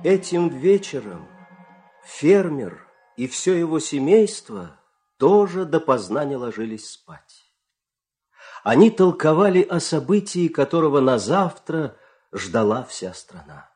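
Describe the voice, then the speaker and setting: A man narrating a scripted fairy tale as an expressive storyteller. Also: on the high side, slow pace, soft background music.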